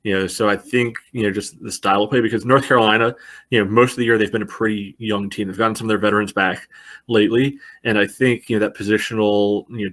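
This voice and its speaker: Low voiced guy on a sports podcast, pretty flat, keeps saying you know, halting casual analysis. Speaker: podcast co-host.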